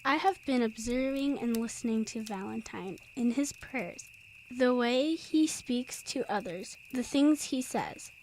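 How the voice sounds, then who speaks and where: A female performer playing a gentle, devout young girl in an audio drama, speaking politely and carefully in old-fashioned phrases. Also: fairly high pitch, measured pace, a little hiss or hum, low-quality recording. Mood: earnest.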